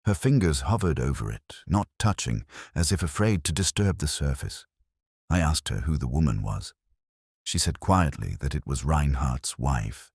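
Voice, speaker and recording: A man reading a first-person mystery story in a measured, reflective way. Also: extremely low pitch, expressive, darkish tone, husky, no echo, studio quality. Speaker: narrator.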